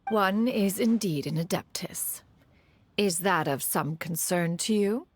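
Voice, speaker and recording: A woman's voice: mid-range voice, animated, takes it slowly, background noise. A woman voicing an ageless immortal mentor who speaks in a stiff, formal way, calling herself "one".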